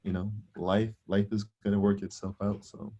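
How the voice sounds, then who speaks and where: A man who coaches a college team, answering sincerely in an interview with many halting pauses and fillers. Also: lowish voice, little pitch movement, warm tone, muffled recording.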